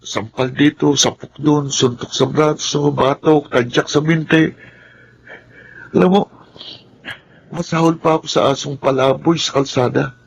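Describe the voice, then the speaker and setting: A man's voice: fairly high pitch, steady tone, measured pace, vocal fry, pauses often, muffled recording, a little hiss or hum. A man with a Filipino accent acting a polite, timid orphaned teenage boy in a radio drama, earnest and deferential. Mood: sorrowful, fearful.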